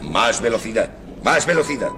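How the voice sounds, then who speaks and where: A man's voice: lowish voice, sing-song, moderate pace, background noise. An actor playing a stern, grave male doctor with a curt, commanding manner in a radio drama. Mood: urgent.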